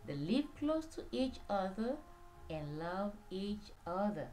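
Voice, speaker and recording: A woman narrating a scripted children's read-along story in an expressive, drawn-out storytelling manner. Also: medium pitch, slight background noise, poor audio.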